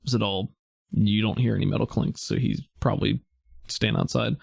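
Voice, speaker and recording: A man narrating as game master in a casual tabletop session, relaxed and hesitant, thinking aloud with many fillers. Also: on the low side, moderate pace, gravelly, slightly rough, dry recording, clean studio sound.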